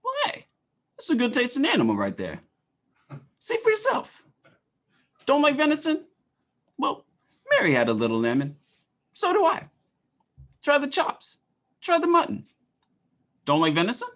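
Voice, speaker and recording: Woman playing Uncle Ezio, an animated butcher salesman. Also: sits high, moderate pace, long pauses. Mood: hard selling. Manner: wisecracking, streetwise hard sell. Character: folksy, pushy male butcher salesman, uncle type. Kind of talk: 1940s radio ad acting.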